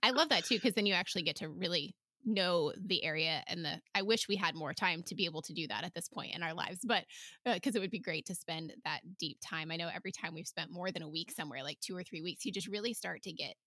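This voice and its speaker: A woman's voice: fairly low pitch, fast, pushed and bright, no room sound, studio quality. A woman hosting a podcast, chatting casually in an agreeable, affirming way. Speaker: podcast host. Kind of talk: casual conversational interviewing.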